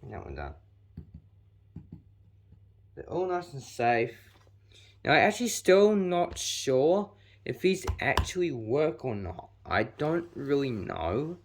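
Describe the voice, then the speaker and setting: A 19-year-old man, chatting casually through a hands-on how-to while deliberately pushing his voice extra deep. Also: sing-song, a little hiss or hum. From New Zealand. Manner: chatty, filler-heavy, talks while working with his hands. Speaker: vlogger.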